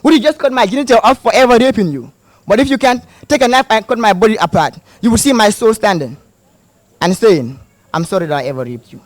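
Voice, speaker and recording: A man's voice: high voice, lively intonation, fast talker. Pleading, intense male slam poet. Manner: pleading and intense, repeating the word "see" for emphasis. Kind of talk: spoken word poetry performance.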